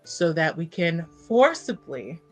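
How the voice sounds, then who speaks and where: A composed, scheming noblewoman of a fantasy roleplaying game, played by a woman at the table. Her speech is formal and very unhurried, in a slightly low voice. There is faint music behind her. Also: big pitch swings, a little mellow, heavily acted. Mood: cool, resolved.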